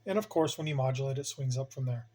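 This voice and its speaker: A man's voice: mid-range voice, fast, mellow. A man giving a casual hands-on demonstration as a hobbyist host, plain-spoken and colloquial, with emphatic turns of phrase.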